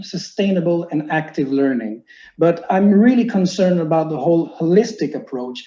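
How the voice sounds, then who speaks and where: French-Canadian male teacher, 40s, earnest lecturer, raised mellow voice, echoey lo-fi recording. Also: a little high. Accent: Québécois French. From Quebec, Canada. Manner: earnest, explanatory, with frequent fillers and restarts. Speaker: teacher giving a seminar. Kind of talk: online seminar presentation. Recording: echoey room, muffled lo-fi sound.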